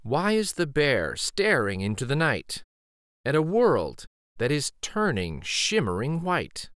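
A man in his forties reading a children's picture book aloud in an expressive, gentle storytelling way. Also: mid-range voice, slow, no room sound, studio quality. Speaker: children's story reader and host.

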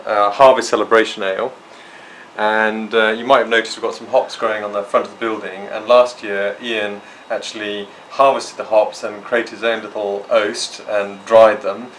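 A man's voice: lowish voice, slight background noise, rough recording. Man telling proud brewery stories. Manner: proud, storytelling. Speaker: brewery co-founder. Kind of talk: casual chat on a tour. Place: small indoor brewery.

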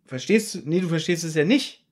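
A man's voice: on the high side, animated, brisk. A man co-hosting a casual podcast, teasing and contradicting as he discusses an audio drama. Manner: teasing, contradicting, acts out quotes. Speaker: podcast co-host.

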